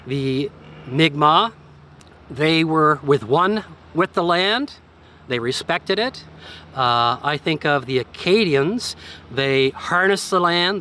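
Older man giving a measured harbor tour. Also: on the high side, pushed and bright. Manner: measured, listing details with emphasis. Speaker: tour guide. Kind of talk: guided walking tour narration. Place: outdoors by a harbor and in a workshop. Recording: faint outdoor background noise.

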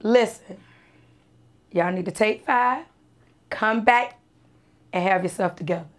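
A woman playing a hoarse bossy producer. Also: medium pitch, measured pace, natural voice. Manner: bossy, exasperated, repeatedly calling cut. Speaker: music producer forming a girl group. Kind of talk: amateur film acting. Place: small dark recording studio.

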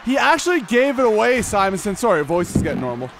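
A man calls an amateur hockey game as the host of a loose, joking commentary. His voice sits fairly high, and he rambles, laughs at his own slips and keeps addressing his co-host by name. Faint noise hums behind him. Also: very animated, fast. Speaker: play-by-play host.

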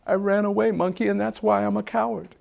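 A man voicing a big, crying, cowardly lion king in an oral folktale, loud and full of self-pity. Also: high-pitched, level tone, a little fast, gravelly, voice pushed up. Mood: ashamed.

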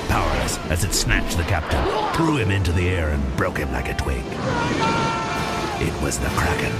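Man, extremely low gravelly voice, scripted adventure storytelling, loud music underneath. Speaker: narrator. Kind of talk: scripted audio drama storytelling.

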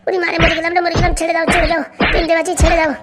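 A woman voicing a timid, excitable young man in a comic cartoon. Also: extremely high pitch, rapid-fire, loud music behind the voice. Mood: pained, begging. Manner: pleading, excitable. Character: timid, pleading young male cartoon underdog.

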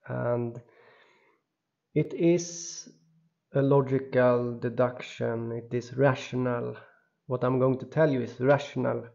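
A man from Sweden with a Swedish accent, speaking in a halting, insistent voice-over monologue full of restarts. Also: mid-range voice, vocal fry, a little mellow, lo-fi sound. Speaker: video creator.

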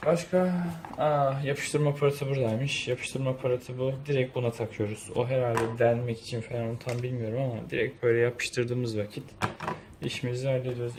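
A man giving a casual unboxing review, thinking aloud in an informal way. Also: medium pitch. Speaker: product reviewer. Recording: roomy echo, faint background noise.

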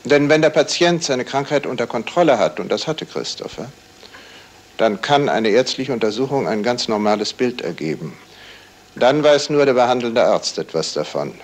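An actor playing a composed, probing male detective in a 1970s radio crime play, asking formal, measured, pointed questions in a gravelly voice. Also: medium pitch, lively intonation, close to an everyday voice. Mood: matter-of-fact, pointed. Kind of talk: 1970s German radio crime drama acting.

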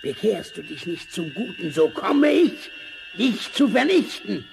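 A man's voice: high-pitched, big pitch swings, unhurried, gravelly, over music, full character voice. A man playing a tiny, ancient forest spirit, stern and commanding, with solemn old-fashioned diction in a fairy-tale audio drama. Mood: thundering wrath. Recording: vinyl rip with faint noise.

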